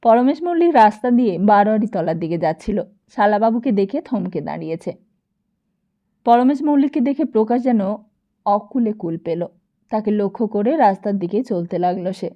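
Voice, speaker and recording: A woman narrating a novel as an audiobook in an expressive, dramatic storytelling style. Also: mid-range voice, normal speed, lo-fi sound.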